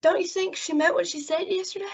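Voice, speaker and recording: Woman acting a high-voiced, outspoken frontier woman. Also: steady tone, fast talker. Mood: concerned, wry. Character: outspoken, concerned frontier woman, loyal friend. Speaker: actor in a radio western. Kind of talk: old-time radio drama acting. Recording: dull, lo-fi audio.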